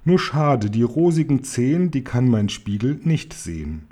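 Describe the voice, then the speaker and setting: A man's voice: medium pitch, sing-song, measured pace, dark tone. A man voicing a vain, scornful, fat aunt in a storybook, pompously declaiming. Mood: smug, self-admiring.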